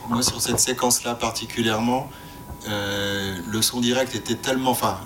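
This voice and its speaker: A man speaking as a sound editor on a panel, informal and halting, often restarting his phrases. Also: fairly even intonation, a bit bright. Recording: background noise with low rumble.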